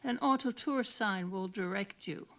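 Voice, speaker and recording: Slow-paced woman giving tour directions. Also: mid-range voice, fairly bright tone. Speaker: audio tour guide. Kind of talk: scripted instructional narration.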